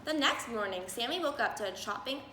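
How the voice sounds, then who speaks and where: High-voiced woman reading in an echoey room. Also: animated, brisk. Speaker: storybook reader. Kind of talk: children's storybook read-aloud. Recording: roomy echo, faint background noise.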